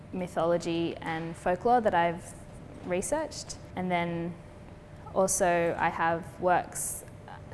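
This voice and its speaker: Young woman, lowish voice, thoughtful artist talk, gallery echo and light noise. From Colombia. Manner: thoughtful, steady, with frequent fillers. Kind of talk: explaining her artwork in an interview. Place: gallery space. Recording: long reverb with faint background noise.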